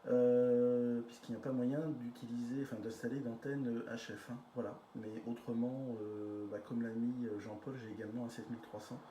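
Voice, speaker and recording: A man's voice: mid-range voice, flat delivery, moderate pace, hardly any pauses, warm tone. A man chatting casually as an amateur radio operator in a group exchange. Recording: roomy echo with faint noise.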